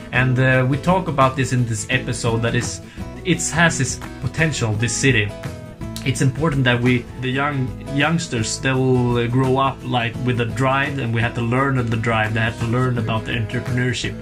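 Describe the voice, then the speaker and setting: Halting male podcast host over guitar. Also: mid-range voice. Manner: halting, with restarts and searching for words. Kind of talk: casual podcast intro and chat. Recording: intro spoken over guitar music.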